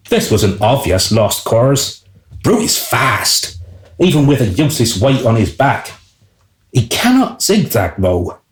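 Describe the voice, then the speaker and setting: A man plays a royal groom narrating a comic radio drama. His mid-pitched voice is theatrical and wry, moving expressively up and down, with noticeable echo. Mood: wry, scornful. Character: wry male royal groom telling the tale. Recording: roomy, echoing sound.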